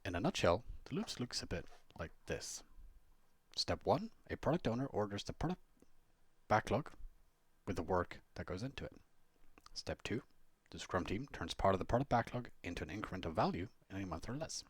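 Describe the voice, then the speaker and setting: Man, lowish husky voice, animated intonation, scripted explainer narration, conversational asides, dry recording. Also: moderate pace, no echo. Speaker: blogger and Scrum trainer reading own blog post.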